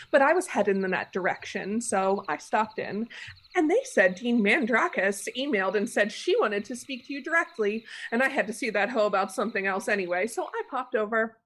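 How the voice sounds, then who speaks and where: A woman's voice: on the high side, fairly bright tone, rough recording. A woman playing a brash, uncontrollable college junior in a dramatic reading, with a casual, sweary manner. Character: brash, uncontrollable, foul-mouthed college junior sorority sister.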